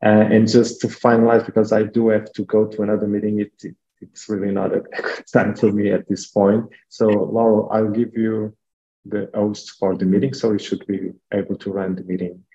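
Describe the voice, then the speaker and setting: A man speaking at an online meeting, halting and repeating words as he goes. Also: on the low side, level tone, warm tone. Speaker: executive director. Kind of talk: casual meeting talk. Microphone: video call. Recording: dull call audio.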